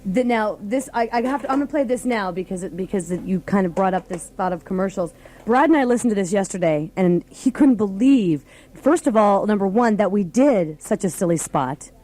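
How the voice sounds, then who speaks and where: A woman's voice: medium pitch, animated, fairly quick, dark tone. A woman of about thirty chatting casually as a live morning radio host. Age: 30s.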